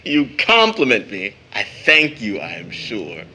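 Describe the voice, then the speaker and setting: A man's voice: lowish voice, wildly swooping pitch, a little slow, sharp tone, slight background noise, exaggerated voice, poor audio. A man acting as a proud young uncle in an audio drama, with a theatrical, formal way of talking. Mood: mock indignation. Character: playful, teasing young uncle, mock-pompous, proud, later embittered.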